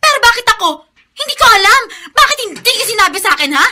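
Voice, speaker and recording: An actress in a Filipino radio drama playing a volatile, spiteful young heiress with a melodramatic, demanding manner. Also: female voice, extremely high pitch, sing-song, fast, very noisy. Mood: furious, tearful. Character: spiteful, volatile young heiress raging over being adopted.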